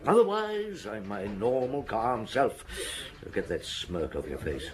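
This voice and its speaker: An actor playing a senior police detective in a British radio drama, with a wry, measured, authoritative manner. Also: male voice, lowish voice, wildly swooping pitch. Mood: irritated, sardonic. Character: dry, unhurried senior male police detective. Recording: noisy radio drama recording.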